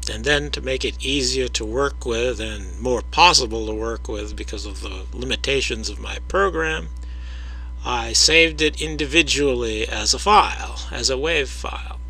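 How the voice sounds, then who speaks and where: A man narrating his own video, explaining his audio analysis in a measured way, with frequent hesitations and direct words to the viewer. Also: mid-range voice, noisy background.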